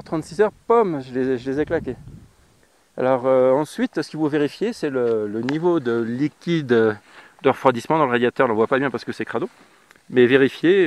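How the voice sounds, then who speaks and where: A man's voice: mid-range voice, darkish tone. A man in his thirties from France, a motorcycle travel vlogger, talking casually to the camera outdoors on a grassy roadside through a lapel mic, blunt and slangy with plenty of fillers. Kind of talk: casual how-to talk to camera. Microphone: lapel mic on riding jacket.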